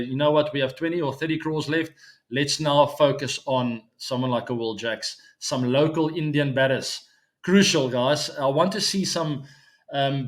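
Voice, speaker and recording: A man in his forties whose first language is Afrikaans, hosting a cricket show in a casual, chatty solo talk to viewers, with frequent ums and easy laughs. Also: a little high. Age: 40s. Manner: chatty, many ums and uhs, laughs easily.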